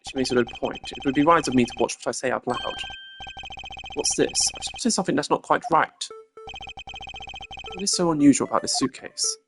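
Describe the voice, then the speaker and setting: A stern male video game prosecutor, acted out by a streamer, formal and clipped. He sounds haughty and analytical, quick to be exasperated, with music playing behind him. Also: mid-range voice, close to an everyday voice. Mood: irritated, composed.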